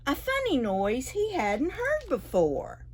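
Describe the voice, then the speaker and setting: Very lively high-voiced woman reading to preschoolers. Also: slow pace. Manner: animated, asks children questions, repeats words playfully. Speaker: librarian storyteller for preschoolers. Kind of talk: expressive picture-book read-aloud.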